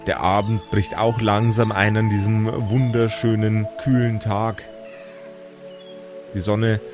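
Male game master, slow measured pace, playful narration, soft music underneath. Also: mid-range voice.

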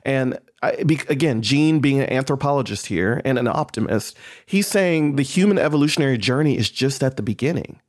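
Reflective man with a mid-pitched voice. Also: expressive, a little fast. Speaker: podcast guest, artist. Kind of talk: casual reflective chat.

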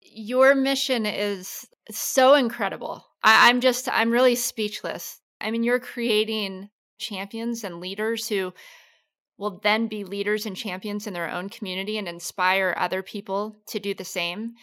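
Female interviewer with a bright, forward tone and an eager, admiring delivery. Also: medium pitch, fairly even intonation, a little slow, clean tone. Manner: enthusiastic, admiring. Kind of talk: interview questions.

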